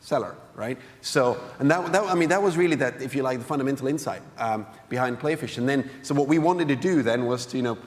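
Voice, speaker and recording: A man from Finland, a game entrepreneur, answering interview questions onstage in a quick, earnest way with many restarts. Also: medium pitch. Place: conference hall stage. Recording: long hall reverb.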